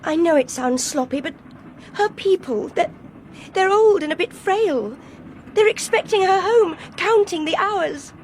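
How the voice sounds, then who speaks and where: An actress playing an earnest, highly strung young Englishwoman in a radio drama, with an English accent. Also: animated, measured pace, slight background noise. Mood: anxious pleading. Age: young adult.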